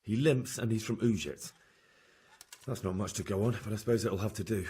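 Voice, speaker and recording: Low, husky young medieval investigator. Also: male voice, a little fast, dark tone. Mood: probing, firm. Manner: direct, probing, matter-of-fact. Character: determined, plain-spoken young male medieval investigator. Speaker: video game protagonist, investigator. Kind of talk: dramatic game cutscene acting.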